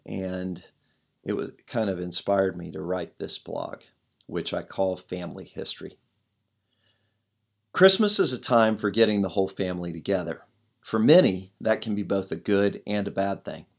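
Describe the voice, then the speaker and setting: A middle-aged pastor with a low, gravelly voice reads his own devotional writing aloud. He is loud and earnest, pressing hard on the words that matter most. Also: normal speed. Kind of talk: reading a devotional blog as a podcast.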